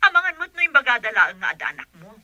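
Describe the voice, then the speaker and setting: Sharp, prying woman on a phone woman acting. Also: sits high, very animated, racing, no echo, dull audio, heavily acted. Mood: taunting. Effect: telephone filter. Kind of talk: Ilocano radio comedy drama acting.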